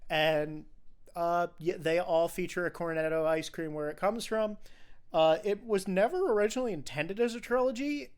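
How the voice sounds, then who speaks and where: Man, podcast host, fairly high voice, smooth clear tone, informal, explanatory film talk. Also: moderate pace. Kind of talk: casual film discussion podcast.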